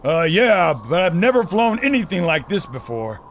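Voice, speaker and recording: An actor playing a brash, excitable showman cameraman with a comic streak in a retro adventure radio drama. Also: high-pitched, normal speed, slight background noise. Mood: nervous. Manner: brash, self-promoting.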